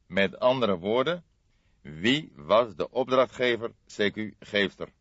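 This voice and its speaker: A man's voice: fairly low pitch, expressive, takes it slowly, a bit bright, no room sound, full character voice. An old police detective acted out by a male reader who speaks emphatically and with authority. His humour is dry, and the audiobook sound is close, dry and somewhat dull. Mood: commanding, emphatic.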